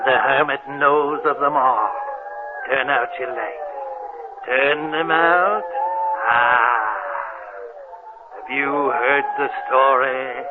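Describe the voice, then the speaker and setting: An actor playing a gleefully sinister old hermit storyteller on a radio horror show, leering and theatrical. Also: male voice, medium pitch. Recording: very noisy, tinny old radio recording.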